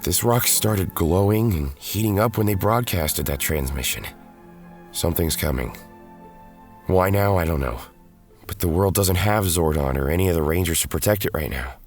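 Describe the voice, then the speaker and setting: A man acts out a former hero in an audio drama, weary and defensive as duty pulls him back. His voice is very low, and dramatic music plays clearly behind it. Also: normal speed. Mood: urgent, resolute. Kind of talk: audio drama acting with first-person narration.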